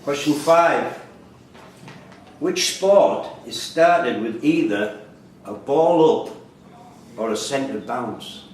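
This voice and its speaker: Male quiz master, measured and terse, recorded at a distance in a boomy, reverberant room. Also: expressive. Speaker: quiz master reading questions. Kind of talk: live quiz question reading. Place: indoor room at a quiz tournament. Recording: echoey room, distant mic, faint noise.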